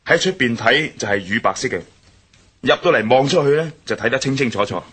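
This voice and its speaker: An actor playing a bold, curious young adventurer in a radio drama, speaking with a Cantonese accent and often thinking aloud. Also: male voice, medium pitch, lively intonation, fairly quick, a bit bright, husky. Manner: muses aloud in inner monologue. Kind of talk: 1960s-style radio drama acting.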